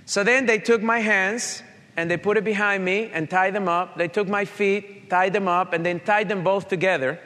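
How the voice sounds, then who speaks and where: A man with a Colombian accent and a slight Italian touch speaks earnestly to an audience. He tells a personal story in a fairly high voice. The sound carries a long hall reverb. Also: steady tone, normal speed, sharp tone. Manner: earnest, direct, addresses audience. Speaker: motivational speaker. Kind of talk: motivational talk with personal story.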